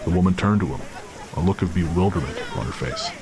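A man's voice: low-pitched, animated, fast talker, crowd noise, rough recording. A man narrating a scripted dramatic story as the narrator of an audio drama. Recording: clear background noise of city ambience.

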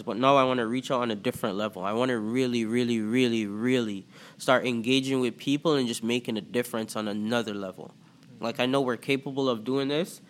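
A man with a Toronto accent hosting a podcast as a coach, talking loosely with lots of fillers and pauses. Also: medium pitch, slight background noise. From Antigua and Barbuda. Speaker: podcast host and life coach. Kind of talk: casual podcast talk.

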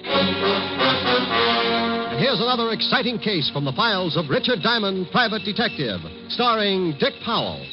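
A man's voice: high voice, big pitch swings, unhurried, long pauses. A man announcing a 1950s radio show in an emphatic, declamatory scripted style. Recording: muffled old radio broadcast. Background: loud brass theme music.

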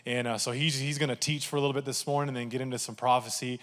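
A man's voice: mid-range voice, fairly even intonation, rapid-fire, fairly bright tone. A man with a Texan accent, a campus pastor, giving a casual, joking welcome from the stage. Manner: joking, chatty, upbeat. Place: church sanctuary. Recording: church hall reverb.

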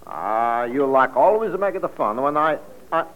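A man's voice: mid-range voice, big pitch swings, moderate pace, natural voice. Tony, a man doing a fiery farmer. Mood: pitching. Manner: broken English, impulsive and gushing. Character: lovestruck immigrant vegetable farmer, hot-tempered. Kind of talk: 1940s radio acting. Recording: muffled old radio recording, faint music.

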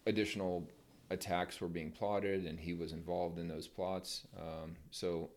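Very deep-voiced, plain-spoken middle-aged man. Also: level tone. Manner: measured, plain-spoken, firm opinions. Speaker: podcast guest, military veteran. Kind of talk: casual interview chat.

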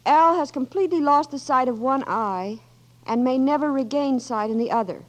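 An actress playing a gentle, earnest Red Cross worker, speaking with some hesitation, in 1940s radio acting. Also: female voice, high voice, moderate pace, close to an everyday voice, poor audio.

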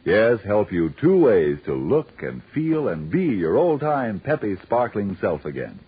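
A man's voice: lowish voice, big pitch swings, measured pace, slightly rough, faint music behind. A man working as a 1940s radio announcer, formal and emphatic as he delivers scripted sponsor copy. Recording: tinny 1940s radio recording.

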